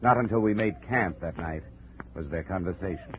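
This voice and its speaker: Low-voiced hard-boiled radio detective, played by a man. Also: normal speed, lightly acted. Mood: dry, narrating. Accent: American. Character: tough, cynical male American insurance investigator in the jungle. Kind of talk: 1950s radio detective drama, first-person narration and dialogue. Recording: muffled, noisy 1950s radio recording.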